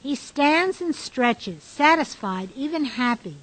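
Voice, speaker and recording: Female narrator for a radio play, reading stage directions, pitched a little high. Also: expressive, slow pace, a bit bright, rough recording.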